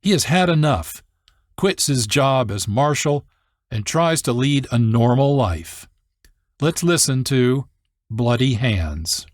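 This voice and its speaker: A man hosting with measured, deliberate scripted documentary narration. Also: medium pitch, lively intonation, no room sound, clean studio sound. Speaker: host and narrator.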